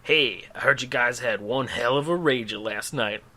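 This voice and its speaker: A man's voice: medium pitch, moderate pace, bright, forceful tone. Man acting out suave male scientist, bad boy, unflappable, cocky swagger, comic storybook hero. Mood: cool, teasing. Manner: cool, laid-back swagger.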